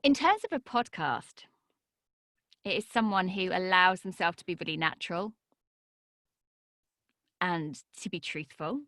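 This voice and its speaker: A woman telling her story as a podcast guest, energetic, her voice swinging high and low as she talks. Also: measured pace, pauses often, no room sound, clean studio sound. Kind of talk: energetic interview talk.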